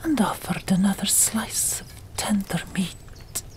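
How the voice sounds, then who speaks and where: A woman narrating an audiobook in a breathy ASMR whisper, hushed and deliberate, pausing between short phrases. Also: mid-range voice, expressive.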